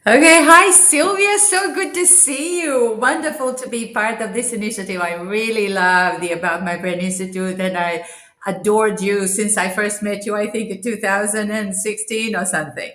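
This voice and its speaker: A woman's voice: mid-range voice, normal speed, fairly bright tone, roomy sound. A woman from Croatia, a change specialist, speaking as an interview guest in a reflective, searching way. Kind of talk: interview over video call.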